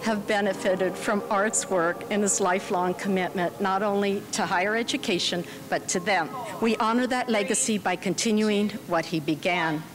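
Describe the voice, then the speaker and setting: A woman's voice: medium pitch, moderate pace, hardly any pauses, poor audio. A woman giving a public speech as a university leader at a ceremony.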